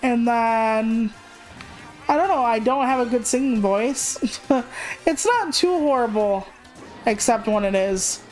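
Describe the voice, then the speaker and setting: A woman's voice: fairly high pitch, slow pace, darkish tone, soft background music, rough recording. A woman livestreamer chatting casually in a candid, rambling, self-deprecating way, swearing freely. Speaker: video game livestreamer.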